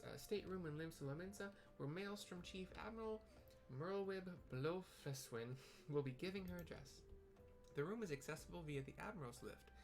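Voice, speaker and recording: A man puts on a small, cheerful young woman from a fantasy game, her voice pitched up high and bright as she chatters eagerly through her instructions. Her chatty, chirpy manner stays light and friendly. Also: gravelly. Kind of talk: game dialogue read aloud.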